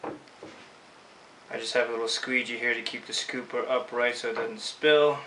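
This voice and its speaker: A man's voice: medium pitch, fairly even intonation, measured pace, pushed and bright. A man with a Filipino accent giving an informal hands-on tutorial, casually talking through each step as he works. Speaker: screen printing instructor. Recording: echoey room, distant mic, faint noise.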